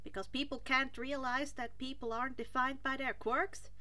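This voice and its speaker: A woman voicing a guarded, sullen, self-doubting teenage boy in an audiobook story, blunt and halting. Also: high voice, steady tone, pushed and bright. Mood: disbelieving, awkward. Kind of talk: expressive audiobook character reading.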